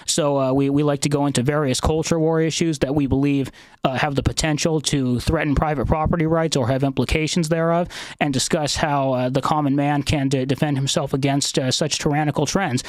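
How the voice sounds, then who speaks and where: A man's voice: on the high side, fairly even intonation, a little fast, no echo. A man hosting a podcast interview, talking in a hesitant way with many fillers and restarts. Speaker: podcast host.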